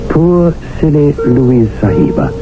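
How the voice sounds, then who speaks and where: An actor playing a humble Indian household servant who speaks formally and self-abasingly. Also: male voice, medium pitch, big pitch swings, slow. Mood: wry. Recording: tinny 1970s radio broadcast with music.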